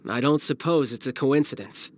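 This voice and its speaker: A man playing a breezy, cunning young fighter in a video game, smooth-talking and nonchalant. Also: medium pitch, normal speed, slight background noise, lightly acted. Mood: calculating. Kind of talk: video game cutscene voice acting.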